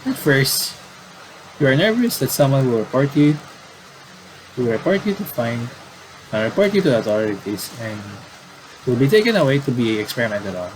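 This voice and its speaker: A man doing casual game commentary and reading aloud, in a halting, rambling way with repeated words. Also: mid-range voice, sing-song, noisy background.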